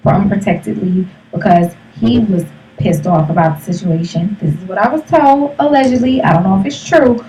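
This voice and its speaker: A woman's voice: medium pitch, normal speed, hardly any pauses, dark tone. A woman in her thirties hosting a live streamed talk show with blunt, brash, slangy and profane casual banter. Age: 30s. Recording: noisy, distant live stream mic.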